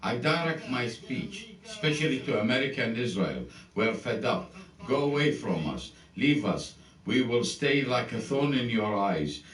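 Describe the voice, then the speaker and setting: A man's voice: mid-range voice, lively intonation, normal speed, fairly bright tone. A male political leader giving a defiant, declamatory public speech. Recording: distant clip with crowd behind, roomy.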